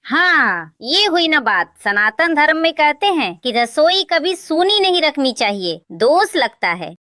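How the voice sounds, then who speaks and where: A domineering mother-in-law, voiced by a woman, barks orders in a high, bright, sharp voice. The recording is dry and close. She scolds without pause in this cartoon tale. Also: big pitch swings, dry recording, full character voice. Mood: scolding. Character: bossy middle-aged mother-in-law. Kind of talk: cartoon story dubbing.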